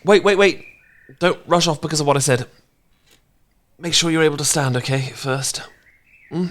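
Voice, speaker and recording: A man playing a smitten, bashful housemate in an intimate romance audio roleplay, speaking gently and sometimes haltingly. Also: on the high side, lightly acted. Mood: flustered, startled. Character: smitten, bashful male housemate, tender poetry reader.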